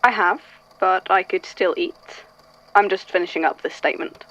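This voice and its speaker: A woman's voice: lowish voice, moderate pace, fairly bright tone, low-quality recording. A woman playing an archivist in a fan audio drama, reading old supernatural statements and thinking aloud. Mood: distracted.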